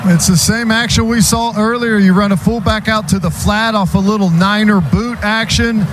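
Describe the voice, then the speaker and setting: A man's voice: sits high, fairly even intonation, moderate pace. An American man working as radio color commentator, explaining football plays in a conversational way. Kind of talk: live football analysis. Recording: noisy stadium radio broadcast.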